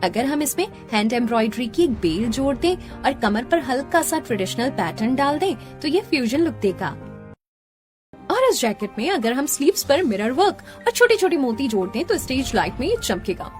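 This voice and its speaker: A woman voicing a humble, gentle young daughter-in-law in a Hindi cartoon family drama, polite and earnest. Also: high-pitched, very animated, moderate pace. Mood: encouraging. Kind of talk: melodramatic Hindi cartoon story dialogue. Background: music.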